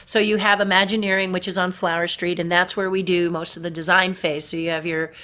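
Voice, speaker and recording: A middle-aged woman from Southern California with a deep voice, chatting about her puppetry work in an interview and laughing at her own stories. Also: level tone, a little fast, bright, forceful tone. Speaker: puppeteer guest. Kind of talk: casual interview storytelling.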